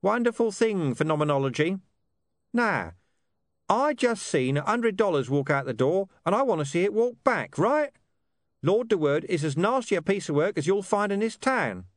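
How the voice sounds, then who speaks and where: A talking stray dog for a storybook, high-pitched and very animated. The mutt is cheeky, sardonic and out for money, talking in dismissive street slang. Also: male voice, moderate pace, no echo, studio quality. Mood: mercenary, insistent.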